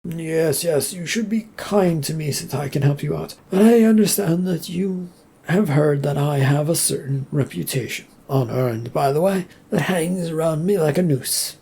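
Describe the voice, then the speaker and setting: A reader voicing a smug male colleague who talks in a smooth, insinuating way. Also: mid-range voice, moderate pace, mellow. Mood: sly.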